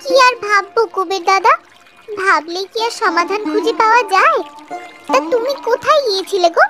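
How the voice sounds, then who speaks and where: A woman's voice: extremely high pitch, lively intonation, moderate pace, exaggerated voice. A woman voicing a caring, dutiful young village girl in a cartoon, with a childlike, earnest manner. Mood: pensive, concerned. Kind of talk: Bengali cartoon voice acting. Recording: loud music behind the voice. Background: music.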